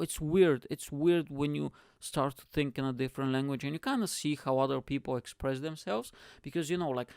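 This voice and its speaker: Gravelly man telling stories. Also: medium pitch, dry recording, clean studio sound. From Bulgaria. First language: Bulgarian. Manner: animated, laughs often, acts out quoted people. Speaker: podcast host. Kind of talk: casual storytelling monologue.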